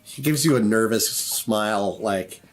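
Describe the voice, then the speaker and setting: A man's voice: on the low side, measured pace, a little hiss or hum. A man working as game master, narrating a tabletop game in an improvised, conversational way.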